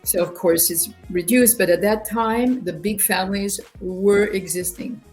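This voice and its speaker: A woman from rural eastern Ontario whose first language is French talks as an interview guest. Her pitch is middling, and she explains her story conversationally, restarting and repeating words as she goes. Soft music plays faintly underneath. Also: a little slow. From rural Ontario near Quebec, Canada. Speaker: interview guest, human rights youth organisation director.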